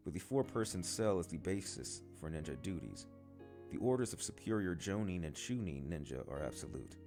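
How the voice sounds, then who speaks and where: A man's voice: low voice, sing-song, moderate pace, darkish tone. A man voicing a cocky older schoolboy bully from a storybook, slangy, taunting and condescending. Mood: menacing condescension. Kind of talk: storybook character dialogue. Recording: guitar music behind the voice.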